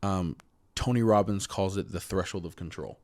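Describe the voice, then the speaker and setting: A man's voice: sits low, normal speed, a little mellow. A man with a stutter hosting a podcast, speaking in an earnest, motivational way and calling his guest "bro" and "dude.". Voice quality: stutters, swaps hard words on the fly. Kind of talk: casual conversational interview.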